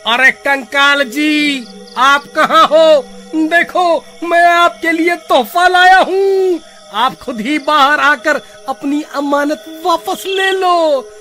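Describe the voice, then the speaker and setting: Cartoon village schemer, male actor: high, forceful, loud, accusing, insistent. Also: takes it slowly, soft background music, exaggerated voice. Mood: calling out. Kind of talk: Hindi cartoon acting.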